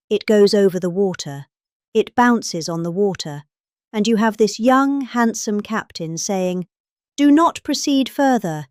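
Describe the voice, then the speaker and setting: A woman's voice: mid-range voice, a little mellow, no room sound, studio quality. A middle-aged British woman giving a dramatic, satirical reading of a speech in a mock-serious, theatrically emphatic manner. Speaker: presenter reading out a politician's speech.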